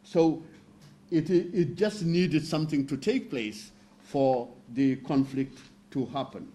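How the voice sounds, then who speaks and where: Panelist, male, from northern Senegal; measured explainer with a higher-pitched, mellow voice. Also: expressive. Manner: measured, explanatory, with fillers and restarts. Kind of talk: roundtable talk.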